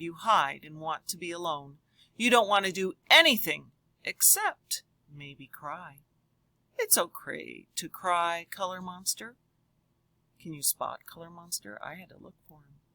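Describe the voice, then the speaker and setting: Expressive woman teacher, lively swooping pitch. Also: no echo. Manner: expressive, addresses children directly, deliberate. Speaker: teacher reading aloud to children. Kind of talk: children's read-aloud and lesson.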